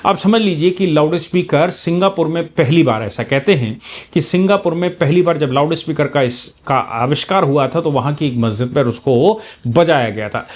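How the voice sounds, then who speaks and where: A man giving explanatory commentary on current affairs like a law teacher, emphatic and repeating phrases for weight. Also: fairly high pitch.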